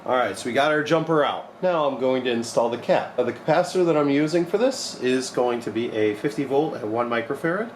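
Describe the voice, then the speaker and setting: A man's voice: mid-range voice, lively intonation, brisk, hardly any pauses. A young man giving an informal hands-on repair tutorial, explaining step by step in a plain-spoken way. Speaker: repair technician demonstrating a modification. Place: small cluttered electronics repair workshop, indoors. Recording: echoey room with clear background noise.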